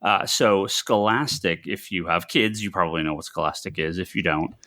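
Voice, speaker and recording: An American man co-hosting a casual discussion of industry news, often halting and repeating phrases. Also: low-pitched, bright, forceful tone, no echo, studio quality. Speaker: podcast co-host.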